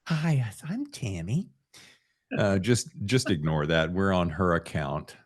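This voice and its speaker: A man's voice: low voice, animated, measured pace. A man hosting a podcast in a casual, chatty way, with a jokey, informal manner and wandering asides. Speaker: podcast host.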